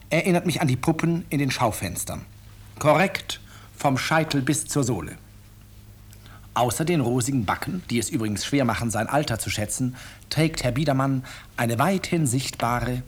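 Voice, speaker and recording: An actor playing an urbane, ironic author who narrates his own story in a 1950s German radio drama, courteous and deliberate. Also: medium pitch, slightly rough, close to an everyday voice.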